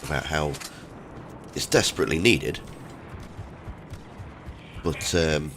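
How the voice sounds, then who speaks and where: A man with a very low voice hosts a casual game stream. He talks haltingly, often leaving a thought hanging while he plays. Gunfire from the game is clearly audible behind him. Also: a bit bright, slight background noise. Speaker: host of a gaming livestream. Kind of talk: casual chat over gameplay. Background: video game gunfire and combat sounds.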